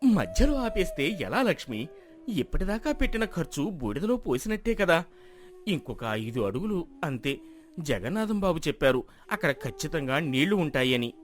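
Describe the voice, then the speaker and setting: A man's voice: a little high, very animated, normal speed. A man playing a stubborn, hopeful village farmer in an acted folk tale, speaking earnestly and pleadingly. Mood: stubborn, insistent. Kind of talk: dramatized folk moral story acting.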